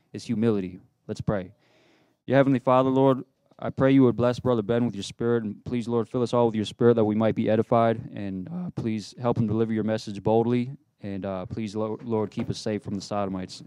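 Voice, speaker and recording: A man, a pastor, reading scripture aloud in a slow, measured way and then leading a prayer. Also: mid-range voice, a little mellow, no echo.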